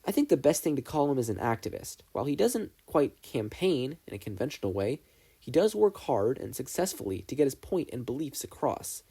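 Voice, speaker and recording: A man's voice: mid-range voice, big pitch swings, a little fast, creaky, mellow, slightly rough, heavily acted. A man voicing an earnest, nerdy teenage boy student who explains things eagerly and at length. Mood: persuasive. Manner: eager, long-winded explaining.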